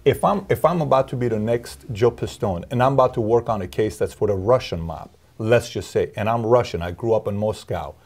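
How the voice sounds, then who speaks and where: A man hosting an interview, asking probing questions in a lively way, often restarting his sentences. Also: lowish voice, fairly quick, hardly any pauses. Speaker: interview host. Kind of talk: casual interview talk.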